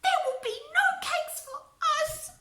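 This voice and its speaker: A woman doing shrill tiny fairies in a sing-song chant. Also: unhurried, sharp tone, roomy sound, heavily acted. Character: tiny fussy fairies who hate noise, chanting rhymes. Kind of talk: storybook reading.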